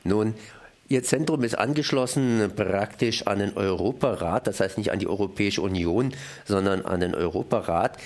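A man interviewing a guest for radio, asking plain questions in a measured way from a studio. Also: fairly low pitch.

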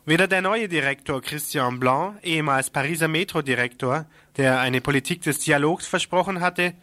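A man reads a scripted radio news report with a pointed, ironic edge. His voice sits fairly high for a man. The sound is dry and close, with no room echo. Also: normal speed, sharp tone. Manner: critical, ironic commentary in the news copy.

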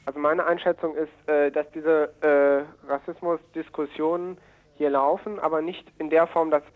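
A man's voice: a little high, steady tone. A man giving hesitant, earnest answers as an activist in a radio interview. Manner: hesitant, halting, earnest phrasing. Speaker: environmental activist being interviewed. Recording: narrow tinny phone-like line.

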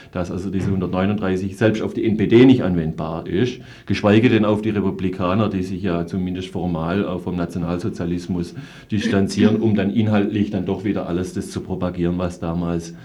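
A man speaks as a guest in a political discussion, in a low and very mellow, dark voice. He lays out his reasoning analytically, in measured, wordy sentences. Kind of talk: political discussion, explanatory argument.

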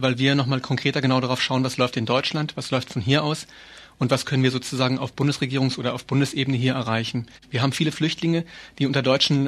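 A man being interviewed as an activist, explaining things earnestly and informally with hesitant phrasing. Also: mid-range voice.

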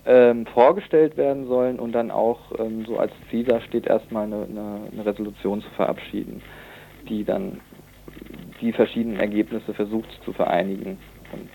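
A young man speaking as a student activist guest in an informal radio interview, halting and searching for words. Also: lowish voice, fairly even intonation, creaky, mellow, a little hiss or hum, low-quality recording. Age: young adult.

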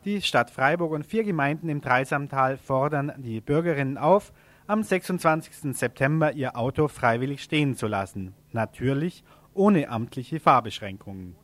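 A man's voice: medium pitch, animated, moderate pace, fairly bright tone, dry recording. A man presenting local event announcements on radio in an informal way, sometimes correcting himself.

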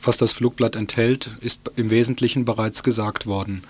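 A man's voice: mid-range voice, steady tone, moderate pace, breathy edge. A man presents the news on radio, reading from a script.

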